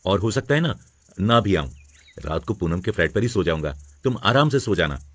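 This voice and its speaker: A man playing a scheming young husband in a family moral story, smooth-talking and casual. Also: sits low, very animated, brisk, a bit bright, no echo, exaggerated voice. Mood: breezy, teasing. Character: scheming young husband feigning romance to provoke wife.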